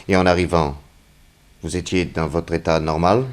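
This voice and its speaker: Guy acting a deep voiced detective grilling someone, sardonic and pushy, old french radio drama style. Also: steady tone, normal speed, lightly acted. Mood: probing. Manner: insistent, sardonic, theatrical questioning. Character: stern, shrewd male investigator grilling a young suspect.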